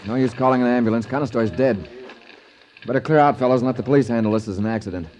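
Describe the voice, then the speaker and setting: An actor playing a businesslike, matter-of-fact FBI agent in charge in a 1950s radio drama. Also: male voice, mid-range voice, quick pace, darkish tone, close to an everyday voice. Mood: brisk, calm. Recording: dull, faintly noisy old radio recording.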